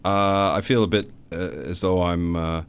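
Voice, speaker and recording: A man being interviewed about his book in a radio studio, talking in a relaxed, hesitant way with many fillers. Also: low voice, a little slow, clear, resonant tone. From Canada. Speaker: author guest.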